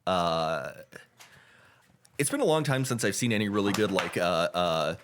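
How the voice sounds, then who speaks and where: A man with a somewhat low voice talks loosely on a casual podcast. He wanders through his points, stalling on fillers and stumbling over restarts as he goes. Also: sing-song, background noise. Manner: rambling, halting, many fillers and restarts. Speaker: podcast co-host. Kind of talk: casual chat.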